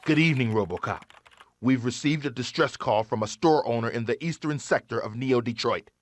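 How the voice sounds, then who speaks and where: A man playing a calm police dispatcher. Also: mid-range voice, brisk, lightly acted.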